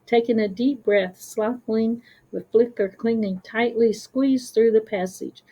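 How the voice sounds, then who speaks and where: A woman's voice: a little high, steady tone, measured pace, mellow, poor audio. A woman storyteller reading a scripted children's story.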